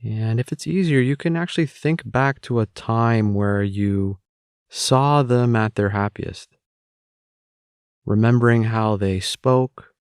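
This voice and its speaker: Slow, low-voiced male meditation guide. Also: smooth, no room sound, studio quality. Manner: calm, measured, gently instructing. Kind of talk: guided meditation, spoken instruction.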